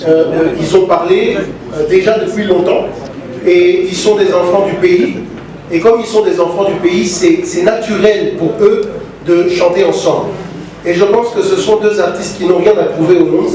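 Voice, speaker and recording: A man's voice: high-pitched, fairly even intonation, normal speed, warm tone. A man with a Congolese accent speaking earnestly at a press conference, hesitant, with many repeated words and fillers. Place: large hall at a press conference. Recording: echoey hall, distant mic, crowd noise.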